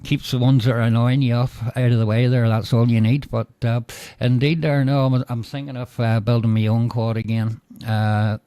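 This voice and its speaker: A Northern Irish man talks over a ham radio link with a mellow, dark tone. His voice is close and dry, without room echo. He speaks in a measured way, with lots of "uh" and "indeed there". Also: mid-range voice, little pitch movement, clean tone. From Northern Ireland. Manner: measured, filler-heavy, signs off with "go ahead". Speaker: radio operator. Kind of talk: casual radio chat.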